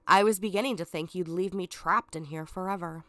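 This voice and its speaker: A woman's voice: on the low side, a little fast, a bit bright, dry recording, lightly acted. A woman reading a scheming, condescending lion villain. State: stuffed up from a cold. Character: scheming, sarcastic male lion villain, self-proclaimed king.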